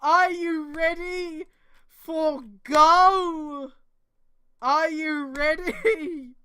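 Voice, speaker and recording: A woman's voice: very high-pitched, steady tone, very slow, sharp tone. A woman streamer reading aloud and adding exasperated, sweary mocking commentary.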